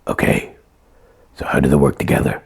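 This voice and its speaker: A woman voicing a naive sock puppet sidekick in a comic puppet show, asking short, blunt questions in a husky, gravelly, breathy voice. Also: a little fast, a little mellow, lightly acted. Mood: naive curiosity.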